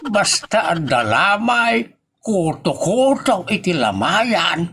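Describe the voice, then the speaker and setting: A man playing an old father in a comedy audio drama, drawling his words out very slowly. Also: sits high, very animated, background noise, heavily acted. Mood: unhurried.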